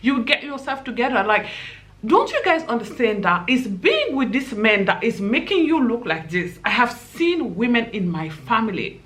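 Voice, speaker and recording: A woman's voice: fairly high pitch, very animated, moderate pace, fairly bright tone. A young woman with a Nigerian accent, giving impassioned, pleading commentary to camera as a vlogger.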